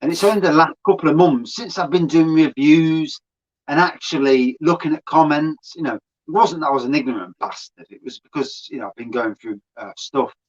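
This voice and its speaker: A man's voice: fairly high pitch, a little fast, dull audio. A man, a beer reviewer, chatting casually as a guest on a stream, swearing freely and laughing as he tells stories.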